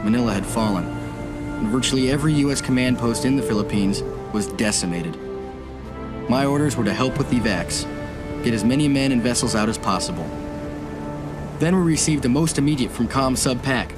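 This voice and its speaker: A man's voice: medium pitch, lively intonation, a little fast, pauses often, loud music behind the voice, lightly acted. A man voicing a brash young navy officer. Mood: wry. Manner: wry, brash retrospective narration. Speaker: narrator and naval officer. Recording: war game cutscene with loud score.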